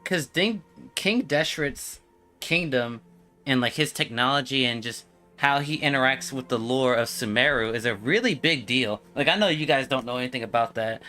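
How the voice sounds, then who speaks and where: A man's voice: medium pitch, bright, forceful tone. A man doing casual live game commentary, explaining emphatically in slangy speech. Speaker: game streamer.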